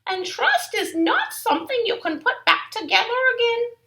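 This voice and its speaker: A woman voicing a gentle, wise mother bear in a soft, sing-song motherly way. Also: very high voice, sing-song, normal speed, pitched above the performer's own voice, heavily acted. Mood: wistful.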